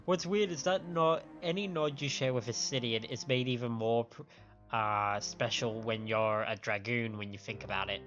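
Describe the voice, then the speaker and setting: A male streamer chats and jokes casually through a game playthrough. His mid-pitched voice comes through slightly muffled, with faint music behind it. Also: normal speed, fairly bright tone. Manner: joking, chatty. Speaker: live streamer, host.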